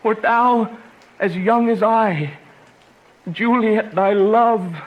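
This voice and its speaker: A man's voice: high voice, highs cut off. A man playing a passionate, despairing young banished lover in a Shakespearean radio drama, with anguished, theatrical delivery. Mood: bitter despair. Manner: anguished, theatrical, slow declamation.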